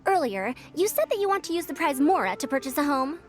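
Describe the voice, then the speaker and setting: Very high-pitched sprite companion, blunt and pushy, bright piercing tone, bouncy sing-song delivery. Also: female voice, big pitch swings, fast, faint music behind. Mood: probing. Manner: blunt, pushy, talks about herself by name. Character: tiny floating companion sprite, female, blunt and chirpy. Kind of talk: video game cutscene dialogue.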